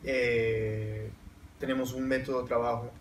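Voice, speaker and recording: A man's voice: mid-range voice, little pitch movement, measured pace. A man with a Peruvian accent answering questions on a university panel, thoughtful, with frequent restarts and fillers. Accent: Peruvian Spanish. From Peru. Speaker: panel guest writer. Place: university lecture room, seated at panel table. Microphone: table stand mic heard from far camera. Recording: roomy, distant, faint hiss.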